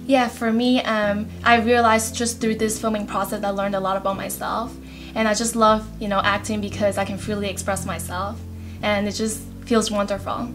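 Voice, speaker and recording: A young woman, an actress answering interview questions in an earnest, measured way. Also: mid-range voice, flat delivery, music in the background.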